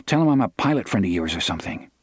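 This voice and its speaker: Man playing an urgent, quick young husband. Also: lowish voice, big pitch swings, slightly rough, no room sound, clean studio sound.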